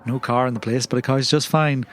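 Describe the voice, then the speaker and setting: A man playing a flustered agent, baffled and deadpan, in comic audio drama dialogue. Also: medium pitch, fairly quick, faint music behind, close to an everyday voice. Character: flustered, deadpan male agent, reluctant heir.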